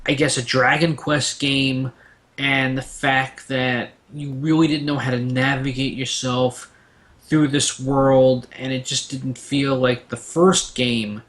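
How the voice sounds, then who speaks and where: A man's voice: mid-range voice, level tone, takes it slowly, a bit bright. A man in a casual gaming discussion, giving opinions in a rambling, storytelling way as a panelist.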